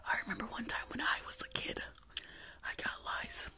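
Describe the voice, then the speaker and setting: A young woman playing a rude, weary school nurse in an ASMR roleplay, grumbling in a breathy whisper close to the mic. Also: normal speed, pushed and bright. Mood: rambling, confessional. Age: young adult. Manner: sassy, grumbling, rambling. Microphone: close foam-covered microphone on desk.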